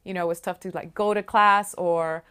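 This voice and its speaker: A woman's voice: lowish voice, fairly even intonation, fairly quick, sharp tone, clear, resonant tone. A woman from El Paso, Texas, whose first language is Spanish, speaking plainly in an interview in a classroom. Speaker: math teacher, Navy veteran. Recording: music bed under interview.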